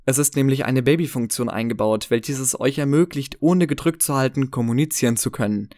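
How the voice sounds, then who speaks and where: A man delivers scripted review narration. His voice sits at an average pitch. The sound is dry and close, as in a voice-over for a product comparison. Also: brisk, a little mellow, no room sound.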